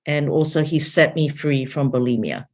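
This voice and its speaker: A woman from Taiwan who grew up in several countries, a missions leader sharing her faith story earnestly and haltingly as an interview guest. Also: low-pitched. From born in Taiwan, grew up in several countries. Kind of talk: personal testimony in an interview.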